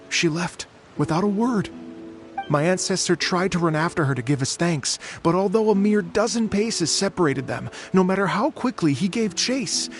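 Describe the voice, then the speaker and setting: A gravelly, weary bard. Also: male voice, high voice. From Fontaine. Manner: measured, earnest storytelling. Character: weary middle-aged male bard, earnest storyteller. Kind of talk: video game dialogue.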